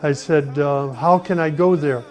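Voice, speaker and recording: Earnest American man telling his story. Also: fairly high pitch. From United States. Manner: earnest, unhurried, with pauses and restarts. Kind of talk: personal testimony, reflective storytelling. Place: Saudi Arabia. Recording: soft music behind the voice.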